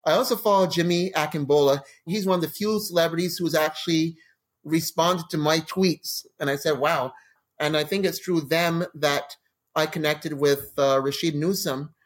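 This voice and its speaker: Canadian guy in his 40s or so, guest on a podcast, telling funny travel stories, kinda high voice, acts stuff out. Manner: animated anecdotes with laughs and acted-out quotes. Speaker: guest, crime novelist. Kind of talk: casual interview storytelling.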